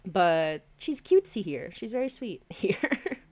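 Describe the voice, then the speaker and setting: A woman's voice: medium pitch, very animated, unhurried, darkish tone. A woman hosting a casual livestream, chatting in a bubbly, giggly, rambling way. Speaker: livestream host.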